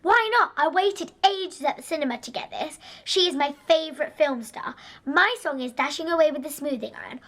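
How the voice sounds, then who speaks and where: A female performer plays a bold little girl of nine in a wartime radio play. Her voice is high and youthful. She talks bluntly, with plenty of pluck and cheek. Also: fairly bright tone. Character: bold, cheeky nine-year-old evacuee girl, star-struck.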